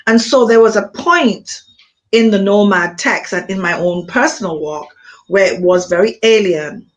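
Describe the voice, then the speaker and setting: Reflective Montserratian woman, many pauses. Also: mid-range voice. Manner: thoughtful, pauses with frequent ums. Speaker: author, interview guest. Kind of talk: reflective conversation.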